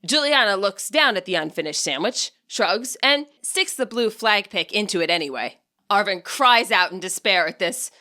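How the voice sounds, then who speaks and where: A woman reading a scripted story as its narrator, with a wry, expressive delivery. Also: mid-range voice, normal speed. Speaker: author narrating own fan fiction audiobook.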